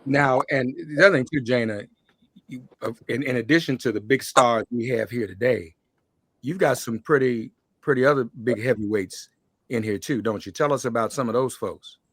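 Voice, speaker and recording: A man's voice: medium pitch, moderate pace, a bit bright, dry recording. A man with an American accent hosting a conversational interview. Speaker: interview host.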